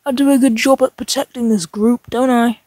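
Woman as a high-voiced proud hero. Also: fairly even intonation, fairly quick, warm tone, no echo, close to an everyday voice. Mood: proud, blunt. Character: gruff, proud protective hero of a fighting group. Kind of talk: scripted fighting game voice lines.

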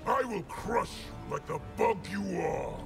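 Gravelly, scornful horned demon warrior. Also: male voice, expressive, unhurried, over loud music, heavily acted. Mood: scornful, furious. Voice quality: gravelly, roaring. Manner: scornful, booming. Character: huge horned demon warrior, male, arrogant and brutal. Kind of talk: video game villain dialogue.

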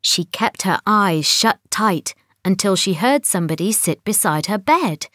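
Woman, children's story narrator, slow, expressive, dry studio sound. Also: medium pitch, no echo.

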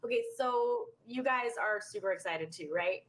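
A woman's voice: medium pitch, normal speed, low-quality recording. A young woman hosting a lifestyle TV segment, interviewing a guest in an upbeat, chatty manner. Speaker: TV show co-host.

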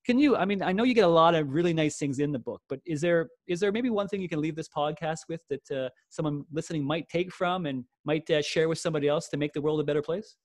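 A man's voice: on the high side, no room sound, studio quality. A man in his forties hosting a podcast interview over a video call, talking eagerly with many fillers. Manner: fast, filler-heavy, eager. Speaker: podcast host. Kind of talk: friendly interview.

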